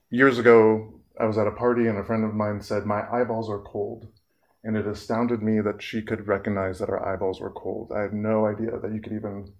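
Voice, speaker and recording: A man speaking as a therapist in an earnest, measured public talk, pausing often and using fillers. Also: on the low side.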